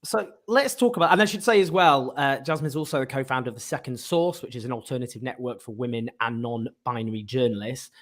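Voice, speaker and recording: A man's voice: mid-range voice, fast talker, hardly any pauses, a bit bright. A man from Northern England hosting a casual online interview, upbeat and effusive with his guests. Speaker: host.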